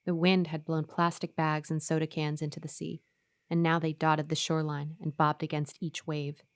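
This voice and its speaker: An actress playing an uneasy, obedient woman who tells her eerie story in a measured way in a radio horror play. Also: sits low, level tone, no room sound, studio quality. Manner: measured, first-person storytelling.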